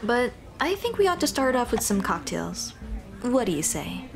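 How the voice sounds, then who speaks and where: A woman's voice: a little high, big pitch swings, normal speed, creaky. A woman playing a shy, flustered monster girl in an ASMR roleplay, with a nervous, stammering, rambling way of talking. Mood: warm, playful. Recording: music behind the voice.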